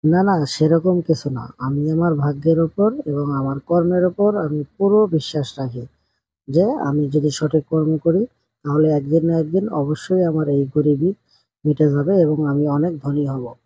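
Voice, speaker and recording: Very dark, husky poor farmer played by a man. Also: fairly high pitch, measured pace, no echo. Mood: earnest, hopeful. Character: poor, kind-hearted, hardworking village farmer husband. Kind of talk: amateur cartoon story dubbing.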